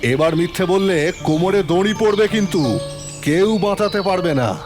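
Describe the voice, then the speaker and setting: A man playing a stern police inspector in an audio drama, questioning briskly and probingly. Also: sits high, expressive, over music. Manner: brisk, interrogating. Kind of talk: Bengali audio drama acting.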